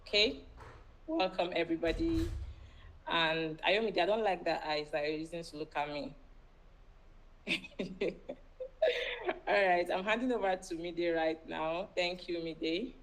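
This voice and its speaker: A woman's voice: on the low side, a little slow, long pauses, rough recording. A woman with a Nigerian accent giving an informal, unscripted welcome speech as a founder, in a conversational way. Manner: conversational, often checking with "right?".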